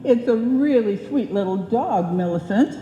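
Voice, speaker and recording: Echoey hall, woman as old-time radio wife, soft mellow tone, homey, wry, coaxing, patient. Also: fairly high pitch, lively intonation, measured pace, dull audio. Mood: wry, sociable. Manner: homey, coaxing, wryly chiding. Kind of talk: old-time radio comedy acting before a live audience. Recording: echoey live hall recording.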